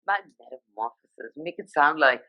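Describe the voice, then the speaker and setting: A woman's voice: deep voice, animated, a little fast, lo-fi sound. A woman from Sikkim, India, whose first language is Nepali, talking animatedly and emphatically as an interview guest over a live video call. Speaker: guest, fashion journalist.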